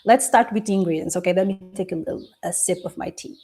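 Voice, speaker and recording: A woman whose first language is Portuguese, a coach hosting a casual live video, talking warmly to viewers, laughing often and pausing to sip tea. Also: fairly low pitch, soft background music. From Portuguese Angolan. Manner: chatty and encouraging, laughs often, sips tea.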